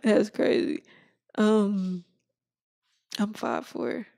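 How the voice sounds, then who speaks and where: A woman's voice: medium pitch, fairly even intonation, vocal fry, mellow. Laid-back woman in her twenties. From Texas. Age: 20s. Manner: laid-back, laughs often, drawn-out fillers. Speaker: podcast co-host. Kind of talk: casual chat.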